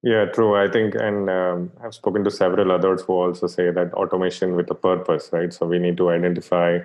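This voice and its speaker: A man hosting an interview, asking questions in a measured, hesitant way. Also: sits low, little pitch movement, darkish tone. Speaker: interview host.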